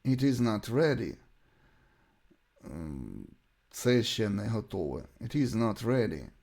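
Ukrainian-accented male teacher, very lively intonation. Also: lowish voice. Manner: deliberate, repeats short sentences. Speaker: English teacher. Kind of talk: bilingual language lesson, reading example sentences and translations.

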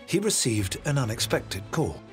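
A man narrating a documentary in a measured, scripted way. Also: medium pitch, soft background music. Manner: measured, even storytelling.

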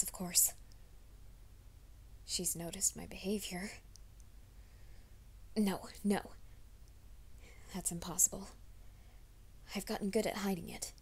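A young man hiding a werewolf nature, voiced by a woman, his voice low and his speech slow. He mutters to himself in urgent, breathy whispers, tense and secretive. A faint hiss of noise sits under this ASMR roleplay audio. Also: darkish tone. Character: young male werewolf soldier, anxious and secretive.

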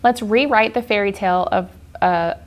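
A woman's voice: medium pitch, moderate pace. A woman in her forties giving an informal, encouraging art journaling tutorial in a casual style. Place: indoor art studio, seated at work table.

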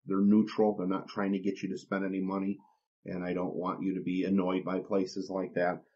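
A man hosting an instructional podcast, explaining things in a plain, deliberate, step-by-step way. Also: low voice, a little mellow. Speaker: podcast host. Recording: dull, lo-fi podcast recording.

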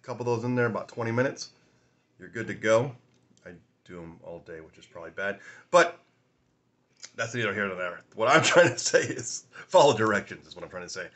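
A man in his forties with a raspy voice from a sinus infection, rambling casually through a whiskey tasting vlog at home, filming on a phone at arm's length. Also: lowish voice, lively intonation, fairly quick, a bit bright. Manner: rambling, chatty, laughs often, many fillers. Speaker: whiskey review host. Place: small room at home, indoors.

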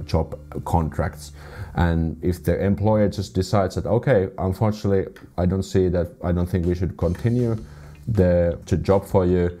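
A man's voice: low-pitched, mellow. A man in his thirties with a Finnish accent, reading viewer comments aloud and reacting to them in a video, often restarting his sentences. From Finland. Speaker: vlogger. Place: small room indoors. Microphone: desk condenser mic.

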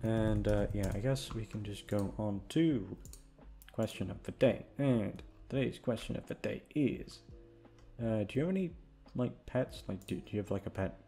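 A young British man chatting casually and hesitantly, meandering, repeating words and gently mocking himself. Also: lowish voice, normal speed, faint music behind. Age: young adult. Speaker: voice actor talking to listeners. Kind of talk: casual rambling chat.

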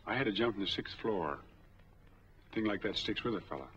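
An American actor in his forties playing a smooth, easygoing drifter in a 1940s film drama. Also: lowish voice, animated, fairly quick, fairly bright tone. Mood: earnest, measured. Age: 40s. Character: smooth, easygoing drifter applying for bank money. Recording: dull old 1940s film soundtrack.

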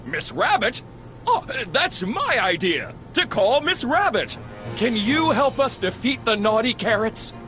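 An actor playing an eager, easily flustered hash brown sidekick in a children's cartoon game, sounding excitable. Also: male voice, very high-pitched, unhurried, full character voice. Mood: relieved. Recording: music behind the voice.

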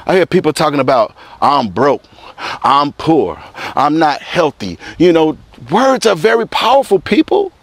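A middle-aged man delivers a preachy motivational talk outdoors, his voice sitting a little high for a man. The lapel mic picks him up close and dry, with no room sound around him. He keeps repeating himself and calling his listeners "people". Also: sing-song, measured pace, no room sound. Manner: preachy, repetitive, addresses viewers as "people". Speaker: motivational vlogger. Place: outdoors in front of evergreen trees. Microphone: clip-on lapel mic.

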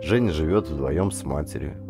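A man reading first-person literary fiction as an audiobook, with a dramatic, deliberate storytelling delivery. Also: very deep voice, over music. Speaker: audiobook narrator, actor.

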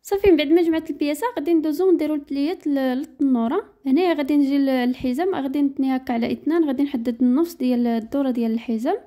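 Woman, Moroccan Arabic accent, sewing teacher doing a voice-over; quick, matter-of-fact, high and mellow. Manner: brisk, matter-of-fact, reading out measurements. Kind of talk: step-by-step sewing tutorial voice-over.

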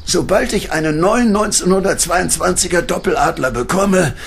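An actor playing an elderly, ill coin dealer in a radio play, talking tiredly. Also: male voice, sits high, moderate pace, creaky, a bit bright, husky, exaggerated voice. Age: older.

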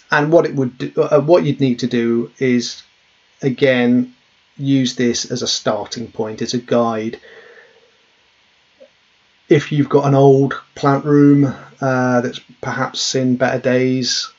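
A man in his thirties walks through a worked example at his desk, his husky voice staying level and unhurried. He fills pauses with ums and uhs as he explains. The audio is dull and lo-fi, as if from a webcam in a small office. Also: mid-range voice. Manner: plain, steady explaining with frequent ums and uhs. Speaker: safety trainer.

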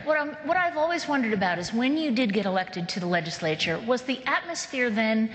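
A woman moderating a panel, putting a polite, measured question to a guest. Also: fairly high pitch, expressive, a bit bright. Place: hall with long reverb. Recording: reverberant hall.

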